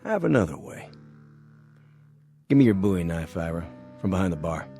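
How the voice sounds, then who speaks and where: An American actor playing a calculating, commanding saloon gambler in an old television western, giving deliberate instructions. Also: male voice, sits low, big pitch swings, vocal fry, dark tone, exaggerated voice. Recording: vintage TV soundtrack, faint music underneath.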